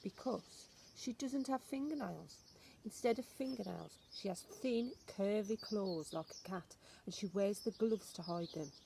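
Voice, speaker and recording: A woman's voice: mid-range voice, a little slow, vocal fry, warm tone. A woman voicing a stern, knowing old grandmother who instructs emphatically and ominously in a storybook reading, with some noise on the recording.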